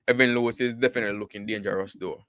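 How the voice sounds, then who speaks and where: A man's voice: lowish voice, fairly even intonation, rapid-fire, a bit bright, no room sound. A man giving casual live cricket commentary, full of repeated words and "you know" fillers.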